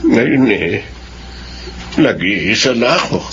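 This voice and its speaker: An actor playing a remorseful, lonely old grandfather in a Filipino radio drama, speaking slowly and apologetically. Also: medium pitch, sing-song, noisy background. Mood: remorseful, sorrowful. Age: older. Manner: slow, apologetic. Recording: muffled radio broadcast, music behind.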